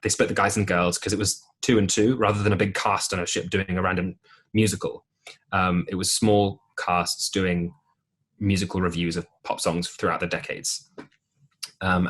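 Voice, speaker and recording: A young man with a deep voice telling stories in a casual podcast interview, with plenty of ums and restarts. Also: husky. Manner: relaxed storytelling with many ums and restarts. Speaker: podcast guest, musical theatre performer.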